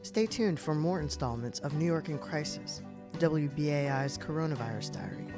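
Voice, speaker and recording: A woman radio host reads her scripted station announcement in a crisp, measured way. Plucked guitar music plays clearly behind her voice. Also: sits low, expressive.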